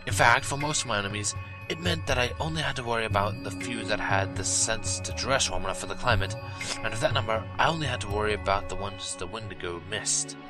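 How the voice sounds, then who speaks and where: A man narrating a first-person story in an expressive reading style. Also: lowish voice, fast, low-quality recording. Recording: loud music behind the voice.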